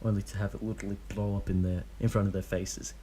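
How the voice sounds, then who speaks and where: A man's voice: lowish voice, flat delivery, fast talker, mellow, slight background noise. A young man casually reading a fanfic aloud, tripping over the odd name. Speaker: fanfic reader.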